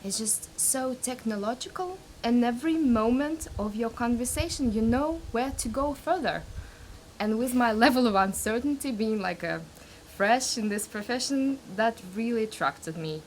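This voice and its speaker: A woman's voice: fairly high pitch, lively intonation, moderate pace, a little hiss or hum, poor audio. A woman from Russia, a narrative therapist recalling her path, speaking English hesitantly and searching for words. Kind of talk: personal reflection.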